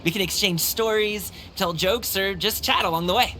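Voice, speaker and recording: A man's voice: high-pitched, normal speed, bright, forceful tone, slight background noise, low-quality recording. A man playing a cheerful, friendly young male guard in a video game, chatty, upbeat and reassuring. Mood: upbeat, eager. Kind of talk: animated video game voice acting.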